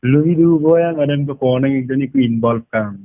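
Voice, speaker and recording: A man's voice: mid-range voice, brisk, dry recording, narrow, tinny audio. A man having a casual phone conversation, dropping in English words.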